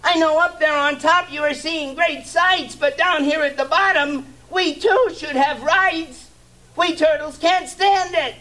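A man's voice: very high voice, fairly even intonation, slow, pushed and bright, pitched above the performer's own voice, heavily acted, low-quality recording. A man voicing a meek small turtle at the bottom of a stack, pleading in a strained voice. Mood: desperate groaning. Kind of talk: storybook reading.

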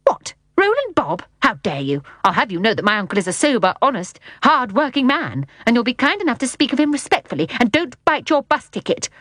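Storybook nanny, played by a woman: touchy, haughty, sharp-tongued, pitched high, very dry sound. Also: sing-song, takes it slowly, few pauses, dry recording, heavily acted. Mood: offended, indignant. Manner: sharp, indignant. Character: prim, easily offended, haughty nanny woman.

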